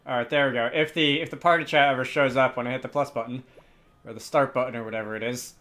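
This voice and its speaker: A man's voice: mid-range voice, fairly even intonation, quick pace, bright, forceful tone, faint music behind. A man giving casual commentary on a game as a let's player who reads its dialogue aloud.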